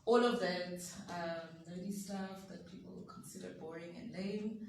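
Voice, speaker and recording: A woman's voice: on the low side, steady tone, measured pace, darkish tone. A South African woman guest giving easygoing, self-deprecating answers in an interview. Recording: echoey room, distant mic, light noise.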